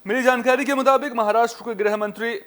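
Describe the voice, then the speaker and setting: A man's voice: very high voice, steady tone, fast talker, a bit bright. A man anchoring a news bulletin, speaking emphatically and often restating his points. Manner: emphatic, repeats and rephrases points.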